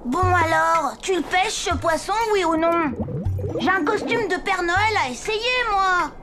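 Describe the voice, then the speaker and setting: A woman's voice: very high-pitched, measured pace. A woman voicing a small, cheeky, boastful boy elf who sounds cocky and insistent, in a French cartoon dub. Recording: music behind the voice.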